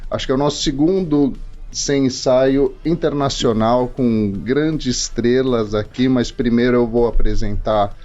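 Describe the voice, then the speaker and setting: A man hosting a podcast interview, asking measured, drawn-out questions. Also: mid-range voice, a little mellow, highs cut off, soft background music. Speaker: podcast host.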